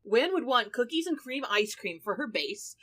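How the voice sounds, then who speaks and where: A woman's voice: a little high, sing-song, normal speed, a bit bright. A woman chatting casually as a podcast panelist, giving orderly, matter-of-fact answers. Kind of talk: casual chat.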